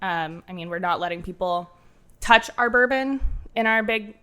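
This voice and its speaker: A woman bartender with a nasal voice, chatting casually on a podcast and telling animated stories with quoted asides. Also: medium pitch, normal speed, clean tone. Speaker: bartender and cocktail menu creator.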